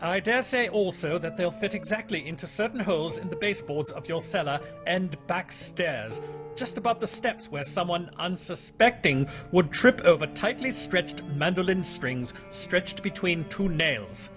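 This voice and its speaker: An actor playing a shrewd, coolly polite British police inspector in a radio drama, speaking in a measured, formal, insinuating way. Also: male voice, high-pitched, lively intonation, over music. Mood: stern accusation. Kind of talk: old-time radio drama acting.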